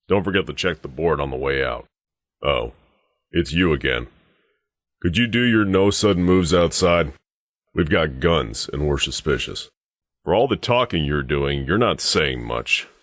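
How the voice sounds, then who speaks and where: Guy doing a super deep gruff guard sarge for a video game, bossy and threatening, dry sarcasm, really dry close sound. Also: fairly bright tone, no echo, heavily acted. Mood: curt, watchful, then stern, threatening. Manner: curt, commanding, threatening, dryly sardonic. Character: gruff, menacing, suspicious male sheriff's guard sergeant. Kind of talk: scripted game dialogue.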